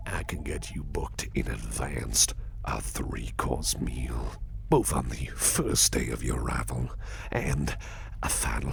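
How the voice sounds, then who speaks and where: A man acting as a doting businessman boss in an ASMR roleplay. He whispers in an extremely deep, heavily gravelly voice, slowly and reassuringly, with a softly flirtatious edge. A faint noise sits behind the close, breathy delivery. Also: heavily acted. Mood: attentive, pleased. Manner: unhurried, reassuring, personal attention to listener.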